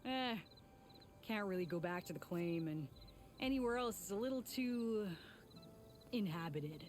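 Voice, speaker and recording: A woman's voice: mid-range voice, animated, measured pace, darkish tone, lightly acted. A woman acting as a worried young-sister-seeking tinkerer from a video game. She speaks earnestly with a wry edge, in a mid-range voice over faint music. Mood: sheepish, wry. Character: worried, guilt-ridden female tinkerer searching for her sister.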